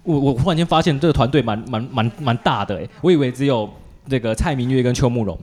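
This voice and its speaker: A man's voice: mid-range voice, fast, darkish tone. A man with a Taiwanese Mandarin accent hosting a casual chat, joking and colloquial, with lots of little interjections. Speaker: podcast host interviewing a guest.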